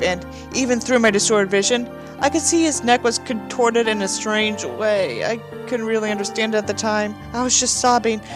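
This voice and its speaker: A woman reading a book aloud in a mocking, dramatic way, with incredulous, sweary asides. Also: mid-range voice. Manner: dramatic, incredulous, expressive reactions. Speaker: reader and commentator of a badly written book.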